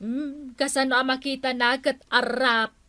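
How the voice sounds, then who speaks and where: A woman's voice: high voice, flat delivery, unhurried, sharp tone, no echo. A woman playing a gossipy, teasing neighbour in a comic radio drama.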